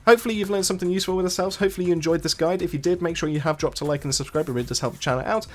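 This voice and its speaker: A man narrates a casual video game walkthrough as a channel host. His voice sits a little higher than average and he talks at a rapid clip. He explains in a wordy way, often repeating and rephrasing himself.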